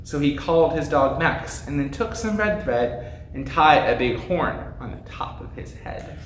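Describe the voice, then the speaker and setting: Guy reading a rhyming kids story, really theatrical, slightly high voice, echoey room. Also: lively intonation, measured pace. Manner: theatrical, emphatic. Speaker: pastor reading a storybook aloud. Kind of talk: expressive storybook narration in rhyme. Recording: echoey room with faint noise.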